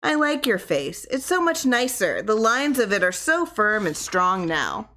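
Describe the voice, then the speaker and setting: A woman voicing a gentle, reassuring wife who tenderly coaxes her troubled husband in an audiobook story. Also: medium pitch, sing-song, a little slow, slight background noise, voice pushed up. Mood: affectionate.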